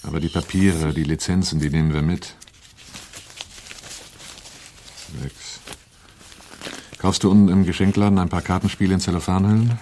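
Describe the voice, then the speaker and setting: A man's voice: deep voice, steady tone, brisk, dark tone, natural voice. An actor playing a hard-bitten, pragmatic man in a radio drama, giving terse, matter-of-fact orders. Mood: calm, practical. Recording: roomy, distant, faint noise.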